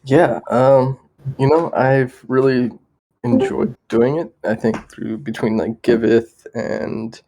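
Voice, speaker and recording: A man with a mellow, gravelly voice of middle pitch takes part in a casual meeting. He talks hesitantly, searching for his words as he shares his view. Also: fairly even intonation, slow, a little hiss or hum, poor audio. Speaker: working group participant. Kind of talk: casual chat.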